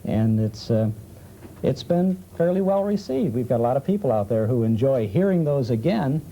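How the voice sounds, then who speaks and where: Male radio host guest, mid-pitched and very dark in tone, conversational, slight vintage tape noise. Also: very animated, normal speed. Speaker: old-time radio show host, talk show guest. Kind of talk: conversational panel talk. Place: television studio. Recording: muffled, faintly noisy 1970s television recording.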